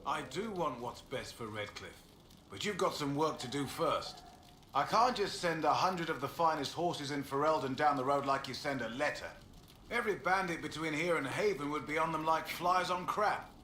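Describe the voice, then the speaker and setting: A gruff, plain-spoken older horsemaster in a fantasy video game. His voice is fairly high and hard-edged, with a folksy, practical bluntness. It rings in an echoey space over soft music. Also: moderate pace, slight background noise. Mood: gruff, skeptical. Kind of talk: video game dialogue acting.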